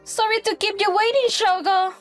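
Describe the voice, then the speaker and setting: The catty girl at the bully's side in an animated campus drama, high and scornful, acted by a woman, soft music behind her. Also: moderate pace. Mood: breezy. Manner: scornful, exclaiming. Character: catty young woman joining in the mockery. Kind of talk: anime-style story dub acting.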